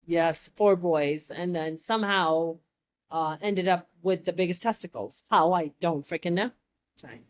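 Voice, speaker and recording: A young adult American woman telling stories in a casual chat, lively and blunt, joking and swearing freely. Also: lowish voice, measured pace. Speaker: guest.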